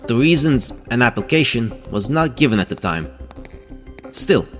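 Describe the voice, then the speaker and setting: A man plays a reserved, earnest scientist resigned to his fate in an audio drama. His voice sits at an average male pitch with formal wording. Faint music runs behind him. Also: very animated, normal speed, close to an everyday voice.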